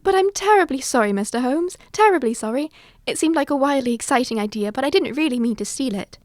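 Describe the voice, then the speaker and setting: Woman playing high-voiced, polite young lady schemer. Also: animated, quick pace, no echo, studio quality. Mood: pleading apology. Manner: polite, earnest, proper. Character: Miss Norton, impressionable, polite young lady schemer, pleading. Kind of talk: old-fashioned detective radio drama acting.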